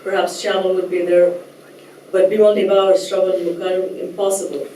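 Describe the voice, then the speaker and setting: A woman from Nepal giving a thoughtful, measured talk as a writer, at times reading a translated essay aloud, with soft hesitations. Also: fairly low pitch, flat delivery, a little hiss or hum. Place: lecture room. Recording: roomy echo, distant mic, faint ambience.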